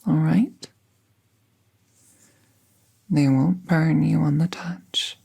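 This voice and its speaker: A woman playing a gentle magical veterinarian in an ASMR roleplay, soothing and reassuring in a hushed, breathy voice. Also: low voice, extremely slow, full character voice. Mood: calm, reassuring.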